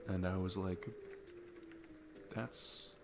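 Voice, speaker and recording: A man's voice: low voice, flat delivery, drawn-out words, exaggerated voice. A young man playing an easygoing, earnest divorced dad on a date, chatting in a casual, rambling ASMR roleplay style. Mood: candid, amused. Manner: rambling, informal, chuckling often. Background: music.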